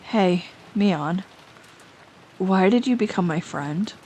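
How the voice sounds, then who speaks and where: A woman voicing a quiet, lonely schoolgirl who speaks softly and then pleads with urgency. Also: medium pitch, lively intonation, slow, a little hiss or hum, rough recording. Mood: subdued. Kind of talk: amateur audio drama reading.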